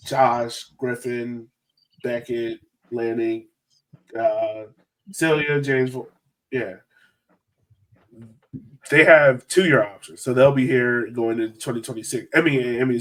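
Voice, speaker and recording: A man's voice: medium pitch, poor audio. Man, podcast host, mid-range voice, casual sports chat.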